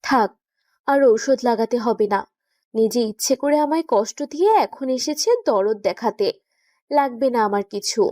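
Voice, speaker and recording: A woman's voice: fairly high pitch, unhurried, mellow, no echo, studio quality, lightly acted. A woman playing a childish young woman in love, whining, sulky and pleading, in a romantic audio story. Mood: sulky, pouting. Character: young woman in love, childish, pouting, whiny wife or girlfriend.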